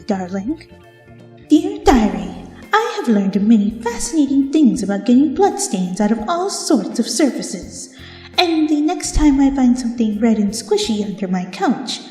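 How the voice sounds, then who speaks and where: Lady doing a fancy dramatic lady pony, affected and genteel, high swoopy cartoon voice. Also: dark tone, roomy sound, big-room sound. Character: refined, dramatic, fussy female cartoon pony.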